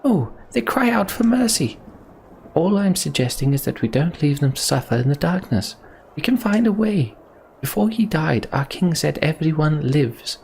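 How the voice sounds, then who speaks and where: A man's voice: fairly high pitch, big pitch swings, moderate pace, vocal fry, darkish tone, slight background noise, voice pushed up. A man voicing an earnest, pleading woman leader who defends refugees in a fantasy game.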